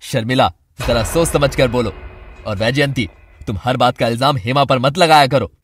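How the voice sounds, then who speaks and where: A man voicing a firm elderly father who reprimands his family in an animated drama. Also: medium pitch, moderate pace, slight background noise, close to an everyday voice. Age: older.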